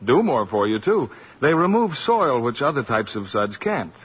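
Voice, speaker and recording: A man's voice: medium pitch, very animated, normal speed, slightly rough. A male radio announcer giving a station break and reading a scripted commercial with polished delivery. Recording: muffled lo-fi 1940s radio broadcast.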